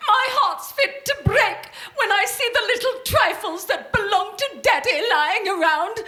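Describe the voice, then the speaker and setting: A woman's voice: extremely high pitch, animated, a little slow, pushed and bright, exaggerated voice. A woman acting in a live radio play as a bossy, grasping, self-pitying wife and mother with a nagging, theatrical delivery. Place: stage before a live audience.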